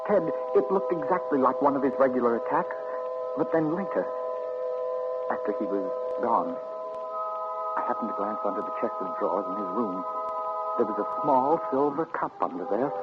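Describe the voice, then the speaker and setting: An actor with an American accent playing an anxious, grief-stricken man in 1940s radio suspense, urgent, halting and pleading. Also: mid-range voice, close to an everyday voice. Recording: scratchy, muffled 1940s radio recording.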